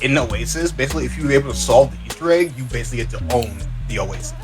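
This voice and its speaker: A man on a podcast panel chatting casually, with slangy, halting phrasing and some swearing. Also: medium pitch, lively intonation, soft background music. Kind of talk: casual chat.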